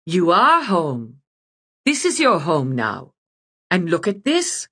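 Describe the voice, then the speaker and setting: A woman playing a slow, gloating witch. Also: fairly low pitch, huge pitch swings, fairly bright tone, husky, full character voice. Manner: slow, sing-song menace. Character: sinister child-snatching witch woman, gloating.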